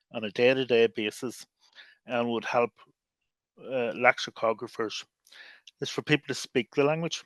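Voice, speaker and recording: A man's voice: medium pitch, fairly bright tone, dry recording. A man whose first language is English, speaking as a guest in a podcast interview in a thoughtful way, with frequent fillers and restarts. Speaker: lexicographer and guest.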